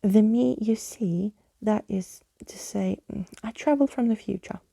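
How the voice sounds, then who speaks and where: Woman acting theatrical old skeleton, soft and breathy. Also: medium pitch, measured pace, no echo. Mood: wistful. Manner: flowery old-fashioned exclamations, florid speech. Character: Skeleton Keys, theatrical, wistful, gleeful old male skeleton.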